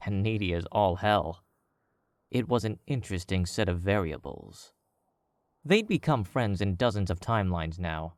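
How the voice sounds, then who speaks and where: A man narrating a story in a measured, even storytelling manner. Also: fairly low pitch, dry recording. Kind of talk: scripted audiobook narration.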